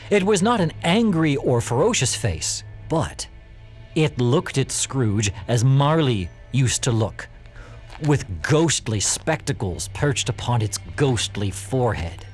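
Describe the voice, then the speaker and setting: Animated male storyteller over music. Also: mid-range voice. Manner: measured, emphatic, expressive storytelling. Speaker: narrator. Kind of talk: dramatic storybook narration.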